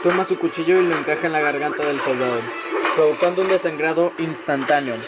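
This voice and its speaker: A man's voice: a little high, fast, heavy background noise. A man reading scripted narration for a radio drama, telling a story in the third person.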